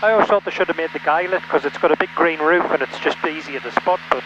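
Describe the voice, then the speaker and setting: Man, casual passenger chat, brisk pace, dull headset sound, clear cabin noise. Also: on the high side, fairly bright tone. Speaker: passenger, air traffic controller. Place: small light aircraft cockpit in flight. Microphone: aviation headset boom. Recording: muffled aircraft headset audio, engine noise.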